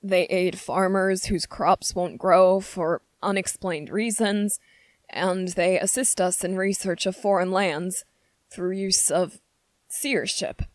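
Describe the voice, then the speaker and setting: A woman voicing a gentle, anxious young prince in a fantasy ASMR roleplay, speaking politely and formally with hesitant, halting phrasing. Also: lowish voice, steady tone, no room sound. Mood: apologetic, reassuring. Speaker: voice actor in audio roleplay. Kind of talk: ASMR character roleplay, scripted fantasy monologue.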